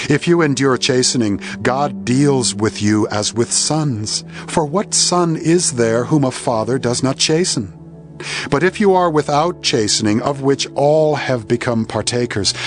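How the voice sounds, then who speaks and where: A man giving a solemn, scripted Bible reading as narrator, measured and formal with dramatic emphasis. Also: medium pitch. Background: background music.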